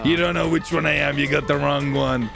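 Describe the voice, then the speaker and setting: A man's voice: a little high, a little fast, creaky, over loud music. A man doing casual, cocky and jokey roleplay chat while playing a video game. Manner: excitable, cocky, jokey, swearing. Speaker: streamer and roleplayer.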